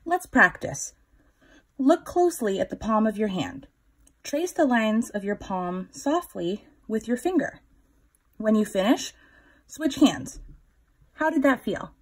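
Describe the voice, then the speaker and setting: A woman in her thirties reading a children's picture book aloud, instructive, pausing to put questions to young listeners. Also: fairly high pitch, very animated, husky. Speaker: children's story time reader.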